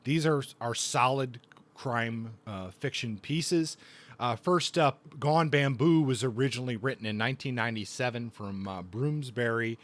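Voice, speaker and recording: A man hosting a casual book review podcast, speaking in a thoughtful, halting way with repeated words. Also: mid-range voice.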